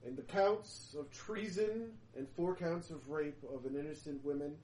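Solemn court official reading a verdict, slightly lowered voice, a man putting it on. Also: expressive, moderate pace, darkish tone, echoey room, a little hiss or hum, natural voice, rough recording.

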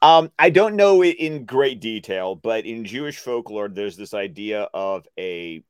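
A man co-hosting a casual discussion show, explaining references in an enthusiastic, nerdy, halting way. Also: fairly low pitch, lively intonation, clear, resonant tone, no echo. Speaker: co-host of animated series discussion show.

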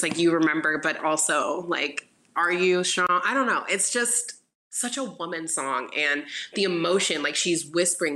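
A woman with a deep voice chatting casually as a podcast guest, giggling often and breaking into snatches of song. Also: sharp tone. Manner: giggly, sings snippets, many restarts. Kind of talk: casual chat.

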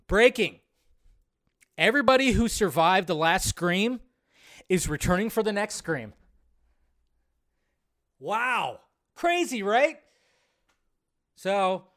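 A middle-aged American man hosting a live show, giving casual, rambling commentary in a blunt, sardonic, sweary way with drawn-out emphasis. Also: sits high, clean tone. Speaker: host of a live movie news show. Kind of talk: casual, rambling livestream commentary on entertainment news.

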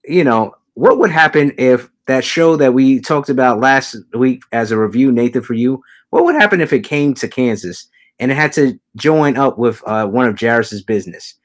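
Male podcast host, average pitch, jokey ribbing tone. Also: animated, normal speed. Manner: joking, teasing, laughs often. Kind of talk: casual podcast chat.

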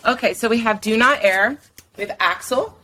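A woman's voice: a little high, normal speed. A woman hosting a music podcast, chatty and upbeat as she interviews a guest. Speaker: podcast host.

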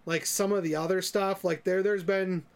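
A man's voice: sits high, fairly even intonation, moderate pace. A man co-hosting a podcast, chatting casually in a tentative, musing way. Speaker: podcast co-host. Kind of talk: casual chat about comics.

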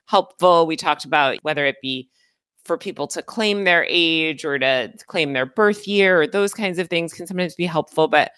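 A woman's voice: low voice, moderate pace, fairly bright tone, clear, resonant tone, no echo, studio quality. A woman co-hosting a podcast, casually building up long questions for a guest. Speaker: podcast co-host. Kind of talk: conversational interview questions.

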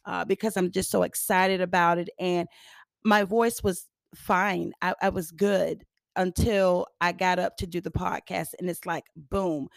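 A hoarse, raspy woman hosting a motivational podcast, repeating phrases for emphasis. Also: lowish voice, steady tone, a little slow, no room sound. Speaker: podcast host. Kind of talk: upbeat motivational podcast talk.

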